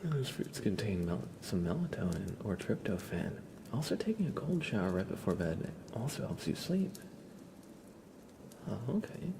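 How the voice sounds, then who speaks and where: A man with a congested, stuffy nose chats casually with viewers as an ASMR livestream host, hushed and often whispery. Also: on the low side, vocal fry, noisy background.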